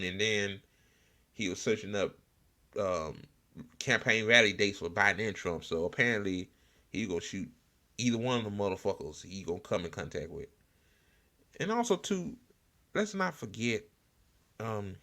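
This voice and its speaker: A man hosting an informal political talk show, speaking in a blunt, sarcastic, profanity-heavy way with halting repetition. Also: fairly low pitch, a bit bright. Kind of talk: informal political rant with commentary.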